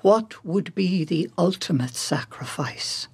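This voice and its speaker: An actress playing a probing, persuasive woman in a radio drama, questioning in a measured, insistent way with a husky, creaky voice. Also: on the low side, animated, a little mellow, no echo, full character voice.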